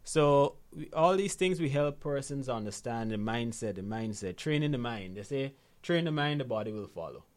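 A man's voice: medium pitch, lively intonation, normal speed, few pauses. A Guyanese man speaking as an interview guest and coach, explaining in a conversational, motivational way and repeating key phrases for emphasis.

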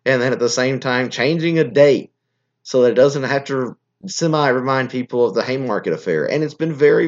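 A hoarse, scratchy-voiced man hosts a political podcast, holding forth in a rambling, opinionated monologue that keeps stopping and restarting. His pitch sits at an ordinary middle level. The recording sounds slightly muffled and lo-fi. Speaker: podcast host.

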